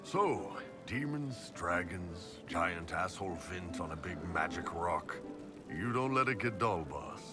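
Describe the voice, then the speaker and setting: An actor playing a big, jovial mercenary warrior in a fantasy game, blunt and swaggering. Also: male voice, deep voice, expressive, a little slow. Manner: blunt, laid-back swagger. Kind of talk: dramatic game dialogue. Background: music.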